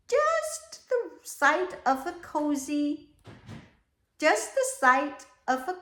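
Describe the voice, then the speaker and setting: A woman reads a picture book to young children in a high, very lively voice. Her delivery is slow and expressive, drawing listeners in with animated asides. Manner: animated, exclaiming, prompting listeners with questions. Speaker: read-aloud storyteller for children. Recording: echoey room.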